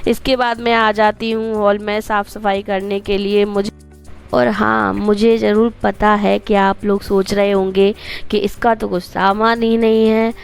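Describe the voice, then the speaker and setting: A woman vlogger with a Hindi accent from Madhya Pradesh, hoarse from a cold, narrating her daily routine in a chatty, homely way with rambling asides to viewers. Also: medium pitch, level tone, a little slow, soft background music.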